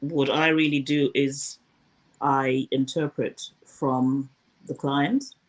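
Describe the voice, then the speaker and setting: Older woman, measured talk. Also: very deep voice, flat delivery, low-quality recording. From Zimbabwe. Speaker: interview guest, former news presenter. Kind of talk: casual interview conversation.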